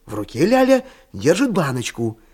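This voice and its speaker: A man with a husky voice playing a wry, gently amused narrator of a children's radio play. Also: on the high side, wildly swooping pitch, moderate pace, heavily acted.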